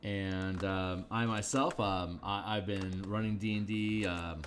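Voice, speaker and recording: Tired middle-aged man with a deep voice. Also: steady tone, takes it slowly, a little hiss or hum. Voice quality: deep, low voice. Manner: rambling, with frequent fillers and restarts. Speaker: illustrator and game designer streaming his work. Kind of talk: casual live-stream talk while working.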